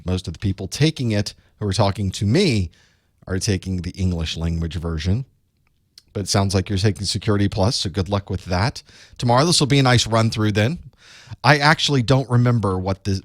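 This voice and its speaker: Raspy man chatting on a live stream. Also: deep voice, no room sound, studio quality. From United States. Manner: chatty, rambling, self-deprecating asides. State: chest cold, a bit raspy. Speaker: online course instructor hosting live study group. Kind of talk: casual live-stream chat.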